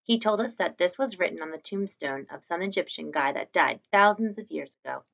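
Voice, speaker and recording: Creaky-voiced young woman reading aloud. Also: lowish voice, lively intonation, a little fast, fairly bright tone, lo-fi sound. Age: young adult. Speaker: teacher reading aloud to students. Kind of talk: expressive read-aloud of a children's novel. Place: small room indoors at home.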